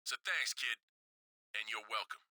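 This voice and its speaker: Arrogant wrestler talking trash, condescending, sharp punchy voice, dry game audio. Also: male voice, fairly low pitch, moderate pace, no echo. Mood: smug. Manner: condescending, cocky. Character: arrogant, territorial male pro wrestler rival. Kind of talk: scripted video game cutscene trash talk.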